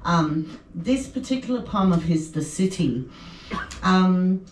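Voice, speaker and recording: A woman's voice: lowish voice, animated, slow. A woman at an open mic introducing a poem, hesitant, with many ums and uhs. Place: indoor venue at a poetry evening. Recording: echoey room, distant mic, faint noise.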